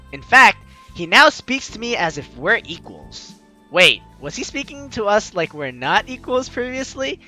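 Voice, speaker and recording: A man reads a story game aloud and jokes between lines. His voice is high and very lively in pitch. Faint music plays under him. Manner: animated, joking asides. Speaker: game player reading dialogue aloud. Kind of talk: let's play narration.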